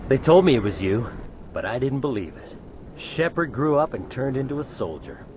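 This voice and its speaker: An actor plays a cocky gang member in a sci-fi game. His mid-pitched voice swings around as he needles, with clear urban noise behind. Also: big pitch swings, normal speed, a little mellow, close to an everyday voice. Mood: needling. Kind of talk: video game drama acting.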